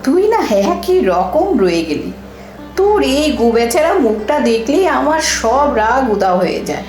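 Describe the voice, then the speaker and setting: Woman acting a troubled elder sister, high-voiced, echoey. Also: normal speed. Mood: irritated, evasive. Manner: emotional, expressive delivery. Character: troubled, protective elder sister, brisk and secretive. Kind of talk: Bengali audio drama acting. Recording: echoey room, distant mic, music bed.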